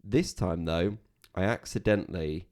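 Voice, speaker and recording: A man's voice: low voice, unhurried, a little mellow. A young man co-hosting a podcast, chatting casually with self-deprecating jokes. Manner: joking, self-deprecating banter. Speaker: podcast co-host. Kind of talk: casual chat.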